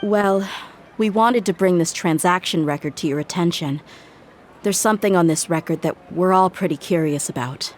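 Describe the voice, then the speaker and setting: An actress playing a proud, independent young mercenary in a video game, speaking firmly, directly and politely. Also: female voice, lowish voice, moderate pace, slight background noise, close to an everyday voice. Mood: polite, businesslike. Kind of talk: scripted video game dialogue.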